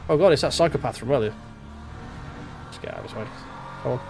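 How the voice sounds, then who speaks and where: A man's voice: medium pitch, wildly swooping pitch, fast talker, vocal fry. A man streaming a racing game, chatting casually to himself and his chat while driving, sounding congested and blocked up in the nose. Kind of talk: live gameplay commentary. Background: game music and car engine sounds.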